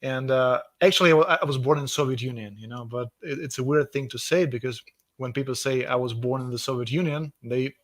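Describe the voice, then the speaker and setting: A man's voice: medium pitch, a little fast, fairly bright tone, no room sound, clean studio sound. A man from Russia with a Russian accent, speaking as an author guest in a casual video call interview, enthusiastic and often repeating words as he searches for phrasing.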